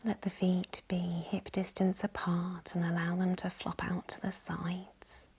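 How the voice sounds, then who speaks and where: Female meditation guide, very breathy and near-whispered, slightly low, unhurried and soothing delivery. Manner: calm, gentle, unhurried. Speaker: yoga nidra guide. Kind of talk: guided meditation, slow hushed instruction.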